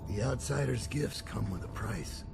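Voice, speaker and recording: An actor playing an old, sick master assassin in a video game, with a deep gravelly voice and a grave, weary, deliberate delivery. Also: male voice, over music, lightly acted. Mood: grim, urgent. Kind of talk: video game cutscene acting.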